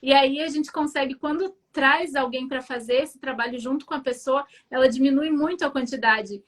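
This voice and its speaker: Professional organizer woman, high voice, patient explainer, video call guest. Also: moderate pace. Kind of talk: explanatory interview answers.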